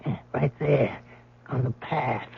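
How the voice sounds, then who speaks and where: An aging, uneasy museum guard with homespun speech. The voice is creaky, very raspy and a bit high, pressing his point insistently. It comes through a thin, old radio-play recording. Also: steady tone, takes it slowly, heavily acted. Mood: spooked urgency. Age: older. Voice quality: husky, creaky. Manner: folksy, ungrammatical, hushed urging. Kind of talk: 1970s radio drama acting. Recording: thin narrow-band vintage radio recording.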